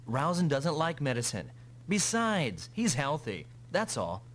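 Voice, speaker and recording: An actor playing a calm, determined male mech pilot in an anime-style English video game dub. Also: a little high, unhurried, slight background noise, full character voice. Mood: calm, matter-of-fact.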